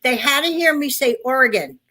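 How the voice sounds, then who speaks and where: A woman with a New York accent, co-hosting a casual live-stream chat in a lively way and walking her guest through the setup. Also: sits high, brisk. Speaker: co-host.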